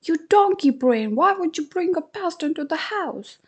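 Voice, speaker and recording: A woman voicing a sharp-tongued washerwoman who scolds and yells. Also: sing-song, normal speed, slightly rough, full character voice. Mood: scolding. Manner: shrill, scolding. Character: shrill scolding greedy washerwoman.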